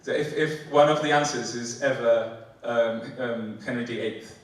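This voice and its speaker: A man in his forties with a Polish accent, performing spoken word poetry and joking between poems in halting, filler-heavy banter. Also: medium pitch. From Poland. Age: 40s. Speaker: performance poet. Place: theatre stage before a live audience. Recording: echoey hall, mic from a distance. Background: audience laughter.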